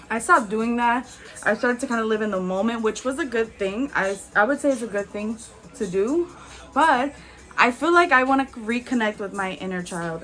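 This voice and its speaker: Chatty young woman vlogger, soft music. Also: mid-range voice. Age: 20s. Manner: chatty and candid, with frequent "like" and soft laughs. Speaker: beauty vlogger. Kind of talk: casual chat while doing makeup.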